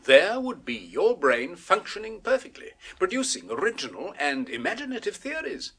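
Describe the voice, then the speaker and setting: An actor playing an eager, persuasive scientist obsessed with a macabre experiment, clinically precise and coaxing, in a 1970s radio horror play. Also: male voice, high-pitched, wildly swooping pitch, normal speed, pushed and bright, full character voice. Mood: excited, urgent.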